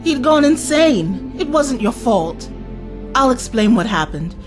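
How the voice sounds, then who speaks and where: A woman playing a gentle companion. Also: a little high, big pitch swings, a little slow. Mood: reassuring. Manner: gentle, reassuring. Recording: audio drama with faint music underneath.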